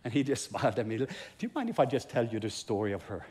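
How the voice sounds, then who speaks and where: Husky Norwegian-accented male pastor. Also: mid-range voice, very animated, fast talker, a little mellow. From Norway. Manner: storytelling with emphatic, repeated build-ups. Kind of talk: sermon testimony.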